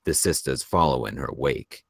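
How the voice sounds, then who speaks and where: A man's voice: very deep voice, lively intonation, normal speed, creaky, fairly bright tone, husky, no echo, studio quality. A man reading screenplay stage directions as narrator at a table read.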